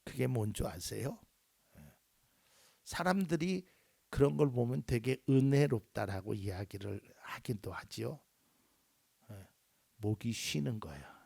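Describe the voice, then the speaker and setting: A male pastor preaching a sermon in a lively way, acting out little quoted voices and dropping at times to a near whisper. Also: medium pitch, measured pace, vocal fry, pauses often, breathy edge, dry recording.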